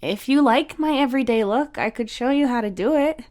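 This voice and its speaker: A woman's voice: high voice, a little fast, darkish tone, pitched above the performer's own voice, natural voice. A woman voicing a girlish, mischievous big sister in a storybook, teasing and encouraging with a casual manner. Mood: gently encouraging.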